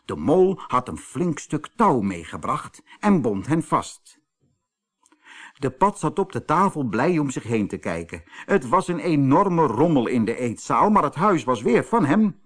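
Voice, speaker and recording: A man narrating a children's fairy tale in an expressive, dramatic storytelling manner. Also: medium pitch, unhurried. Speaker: audiobook narrator.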